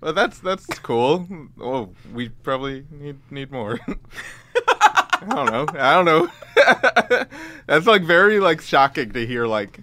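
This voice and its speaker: A man chatting casually as a producer, quick and easygoing, laughing often. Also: on the high side, wildly swooping pitch, pushed and bright, a little hiss or hum. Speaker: associate producer. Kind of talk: casual chat.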